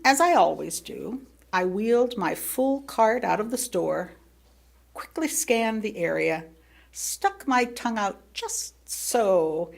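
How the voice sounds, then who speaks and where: An American woman reads a sermon aloud as personal storytelling, speaking expressively with theatrical emphasis and pauses. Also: medium pitch, gravelly. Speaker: lay reader delivering a written sermon.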